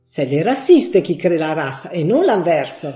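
A woman's voice: big pitch swings, unhurried. An actress with an Italian accent playing Gloria, an Italian wife who reproaches her husband. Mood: reproachful. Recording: echoey, dull low-fi with faint music.

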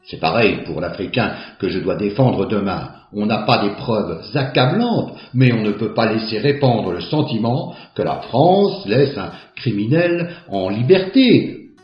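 A man's voice: unhurried, faint music behind. An actor playing Philippe, a bigoted, sneering defence lawyer who rants to his wife. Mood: smug. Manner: lively, sneering, prejudiced ranting. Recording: echoey, dull low-fi.

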